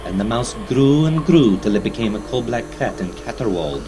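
A man's voice: mid-range voice, lively intonation, brisk, background noise. A man narrating a scripted dramatic tale in a formal, archaic, theatrical storytelling manner.

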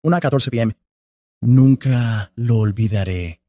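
Man, 40s, mellow voice, dry sound, storytelling guest. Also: medium pitch, a little slow, no room sound. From Colombia. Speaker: podcast guest. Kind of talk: telling his life story in an interview.

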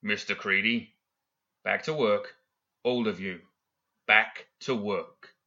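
A man's voice: fairly low pitch, steady tone, measured pace, pushed and bright, highs cut off. A man voicing a smug, always-controlled professor villain in an audiobook, speaking with formal, mocking courtesy. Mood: commanding. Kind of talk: audiobook character acting.

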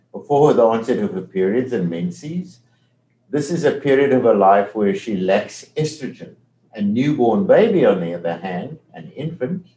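Older South African man, low voice. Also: mellow, roomy sound, poor audio. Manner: measured, explanatory, frequent restarts. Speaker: medical professor guest. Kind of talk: explanatory interview talk.

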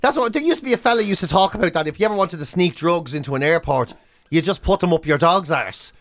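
A man's voice: fairly high pitch, lively intonation, quick pace, fairly bright tone. An Irish man co-hosting in casual comic banter, ranting in an exasperated, self-deprecating, sweary way. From Ireland. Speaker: comedy podcast and radio co-host.

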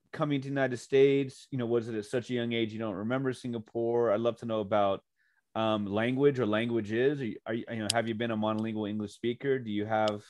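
A chatty man hosting a podcast, winding through long questions and dropping quick "mm"s and "right"s as his guest talks. The recording is dry and close, with no room sound. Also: medium pitch, a little mellow, no room sound. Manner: chatty, rambling questions, frequent backchannel. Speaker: podcast host. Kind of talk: podcast interview.

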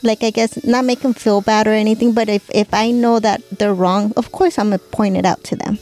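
A woman's voice: mid-range voice, steady tone, a little fast, darkish tone. A woman in her forties co-hosting a podcast, talking hesitantly with lots of fillers and easy laughs. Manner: hesitant, full of "like" and "you know", laughs easily. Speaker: podcast co-host. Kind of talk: casual chat.

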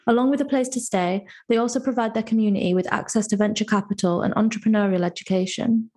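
A woman's voice: medium pitch, steady tone, quick pace, darkish tone. A woman hosting a podcast, reading a polished scripted intro and then asking interview questions. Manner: clear, polished read. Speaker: podcast host.

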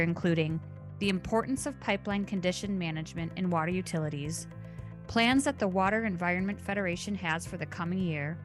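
A woman hosting a podcast, reading a scripted intro and questioning her guest with enthusiasm. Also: on the low side. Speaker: podcast host. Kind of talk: interview hosting.

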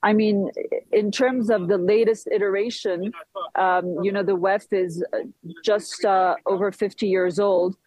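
A woman from Switzerland giving live political commentary as a guest, speaking haltingly with frequent pauses. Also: medium pitch, steady tone, a little mellow, no echo, poor audio. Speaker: guest commentator reporting from a conference town.

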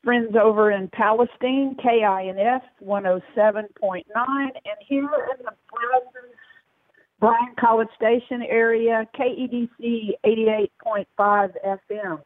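Gravel-voiced woman hosting over the phone. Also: on the high side, fairly even intonation, measured pace, no room sound. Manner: chatty, hesitant with fillers. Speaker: radio show host. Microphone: phone line. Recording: tinny dry phone line.

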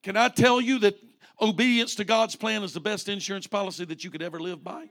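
A man's voice: sits high, fairly even intonation, fast, a bit bright. A man in his late forties, a pastor preaching a fervent sermon with rising repeated refrains. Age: 40s.

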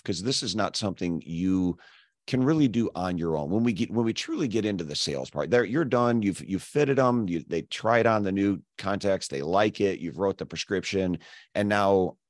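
A man hosting an interview podcast whose voice is hoarse and scratchy from losing it. He talks earnestly and builds long questions in several parts. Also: fairly low pitch, expressive, moderate pace, dry recording, clean studio sound. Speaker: podcast host.

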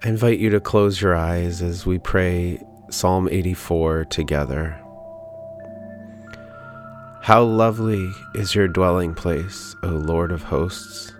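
A man leading a guided prayer meditation in a calm, gentle, unhurried manner with pauses. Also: low voice. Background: background music.

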